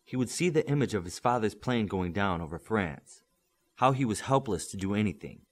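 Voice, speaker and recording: Deep-voiced man reading with lively pitch. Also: normal speed. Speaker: audiobook reader. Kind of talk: scripted narration of a superhero novel.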